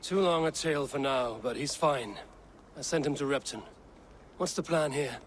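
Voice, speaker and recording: A man's voice: mid-range voice, normal speed, a little hiss or hum, close to an everyday voice. A male actor voicing a level-headed Viking raider in a video game, terse and commanding. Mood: measured. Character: stern, level-headed Viking raider, a seasoned warrior man. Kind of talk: dramatic video game acting.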